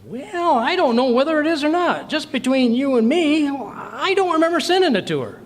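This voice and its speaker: A man's voice: very high voice, lively intonation, moderate pace, a little hiss or hum. A man playing a bumbling, boastful husband in old-time radio comedy style, hemming, hawing and stammering as he talks. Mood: reluctant. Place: community clubhouse stage performance.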